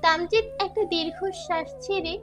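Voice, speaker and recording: A woman narrates a romantic audio story in a very high, girlish-pitched voice. She reads in a scripted dramatic style, with clear music playing behind her. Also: fairly even intonation, moderate pace. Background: background music.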